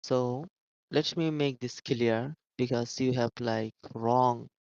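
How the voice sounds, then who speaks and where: Flat-voiced Bangladeshi caller. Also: male voice, mid-range voice, vocal fry, clean tone, no room sound, muffled recording. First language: Bengali. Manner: earnest, halting, tries to explain.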